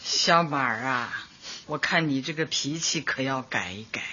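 A woman playing a kindly, plain-spoken community party secretary in a Chinese radio drama, motherly as she advises and gently scolds. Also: rumbling low, unhurried, noisy background. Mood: chiding. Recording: muffled radio drama with music underneath.

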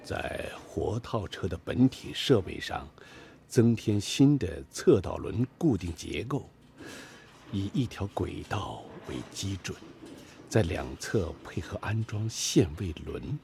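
An actor playing a serious, formal senior technical expert with a gravelly voice, in a Chinese radio drama. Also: male voice, low voice, big pitch swings, slow pace, dark tone, a little hiss or hum, full character voice. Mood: formal, studious.

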